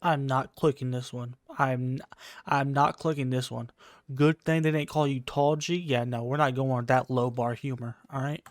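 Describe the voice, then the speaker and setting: A woman's voice: rumbling low, fairly even intonation, moderate pace, dry recording, clean studio sound. A woman giving casual, sarcastic and blunt commentary as she plays a game. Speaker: gaming streamer.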